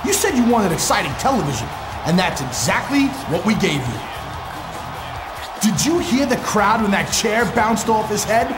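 A man's voice: sits high, sing-song, normal speed. A smug, taunting villain in a wrestling video game. His delivery swings up and down, echoing over music and crowd noise. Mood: mocking, smug. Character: cocky, mocking male wrestling villain. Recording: echoey arena with music and crowd.

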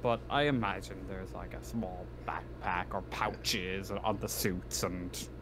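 A man's voice: sits low, fairly even intonation, slow, noisy background. A man thinking aloud tentatively as a player in a casual tabletop roleplaying game.

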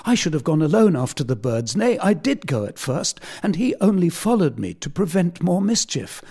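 A man's voice: on the high side, very animated, moderate pace, a little mellow, breathy edge, voice pushed up, full character voice. Man doing an earnest pleading boy.

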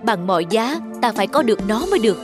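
A woman's voice: fairly high pitch, sing-song, fast talker, over music. Woman voicing a rich, proud old-time landlord. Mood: eager proud. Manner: commanding, eager, addresses servants curtly. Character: wealthy proud male landlord tea lover. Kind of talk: dubbed cartoon folktale acting.

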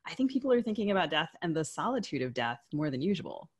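A woman's voice: low-pitched, big pitch swings, fast, breathy edge. A woman co-hosting a podcast, moving between conversation and scripted introductions. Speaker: podcast co-host.

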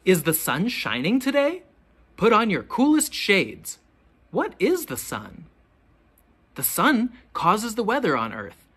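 A teacher reading a children's picture book aloud, with expressive, deliberate questions pitched to young children. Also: breathy edge. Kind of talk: children's storybook read-aloud.

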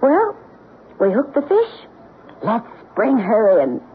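A woman playing a brisk, scheming con artist in a 1970s radio drama. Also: a little high, wildly swooping pitch, full character voice. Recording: tinny, noisy 1970s radio recording.

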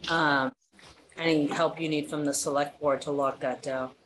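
A woman's voice: deep voice, fairly even intonation, moderate pace, fairly bright tone, a little hiss or hum, low-quality recording. A woman on a town board asking a practical, direct question during a meeting. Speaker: board member.

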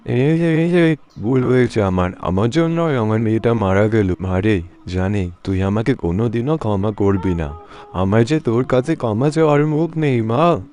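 A man's voice: medium pitch, lively intonation, moderate pace, darkish tone, close to an everyday voice. A man playing a stingy village farmer and father in a cartoon fairy tale, with a dramatic, whiny manner. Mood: remorseful pleading.

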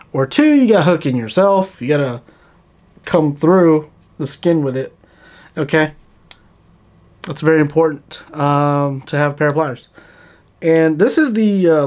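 A man in his thirties, talking casually to camera about his fishing gear. He keeps checking in with "okay?", recorded in a plain indoor studio. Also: on the high side. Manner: relaxed, chatty, often checks in with "okay?". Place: plain indoor studio against a grey wall.

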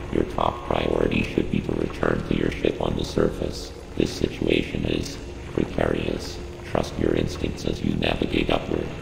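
A video game's artificial intelligence with a rock-bottom voice and a dead-flat delivery. He gives clipped, formal, analytical advice with complete calm, ringing in a long reverb. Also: extremely low pitch, creaky, background noise, full character voice. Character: calm male ship computer AI advising a bounty hunter. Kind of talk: scripted video game briefing. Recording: echoey long reverb under music.

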